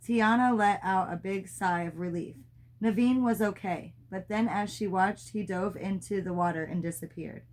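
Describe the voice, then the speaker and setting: A woman reads a children's story aloud in a medium-pitched voice. She narrates expressively, like a mother sharing a book with her child. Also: normal speed, poor audio.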